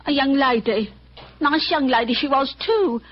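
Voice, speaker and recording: A woman's voice: sits high, normal speed, lightly acted. A woman playing a timid, poor, defensive patient in a radio drama. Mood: explaining. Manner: plaintive, defensive. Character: timid, plaintive, poor working-class female patient. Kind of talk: old-time radio drama acting. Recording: dull old radio recording.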